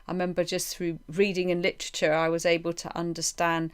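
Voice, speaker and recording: Smooth, low-voiced woman interviewer. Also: completely flat delivery, fairly quick. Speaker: interviewer, podcast host. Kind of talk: reflective interview conversation.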